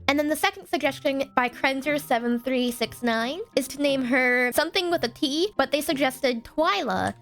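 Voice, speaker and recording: A woman's voice: high-pitched, a bit bright. A young woman narrating her own block-building game session in a chatty, think-aloud way, sounding a little congested. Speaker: gaming YouTuber. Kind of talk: let's play commentary.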